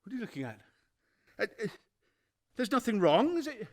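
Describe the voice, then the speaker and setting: An actor playing a nervous, guilty murderer in a radio play, stammering and repeating himself in an over-eager, ingratiating way. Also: male voice, high voice, big pitch swings, a little fast. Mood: rattled, defensive. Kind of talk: theatrical radio play acting.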